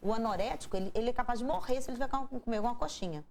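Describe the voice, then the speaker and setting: A woman's voice: a little high, expressive, very fast, darkish tone, a little hiss or hum. A woman doctor with a Minas Gerais Portuguese accent, explaining health topics in an interview with examples and rhetorical questions. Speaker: doctor guest.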